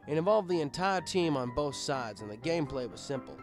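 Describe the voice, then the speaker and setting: A man's voice: a little high, lively intonation, fairly quick, faint music behind. A man reading a scripted first-person story as an audiobook narrator, with dramatic emphasis. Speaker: audiobook reader.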